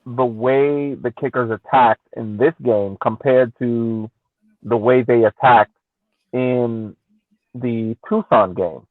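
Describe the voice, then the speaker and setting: A man's voice: medium pitch, steady tone, slow pace, dry recording. A man on a podcast panel casually arguing through a soccer game, posing questions and acting out reactions. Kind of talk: casual post-game sports discussion. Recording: thin phone-like radio sound.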